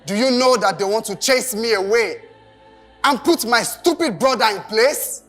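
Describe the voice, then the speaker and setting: A woman's voice: fairly high pitch, expressive, normal speed, a bit bright, faint music behind. A woman acting on stage as a haughty, quarrelsome senior figure with a Nigerian accent and a disdainful manner. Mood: indignant. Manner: disdainful, switching between Pidgin and pompous English. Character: haughty, quarrelsome senior who looks down on others.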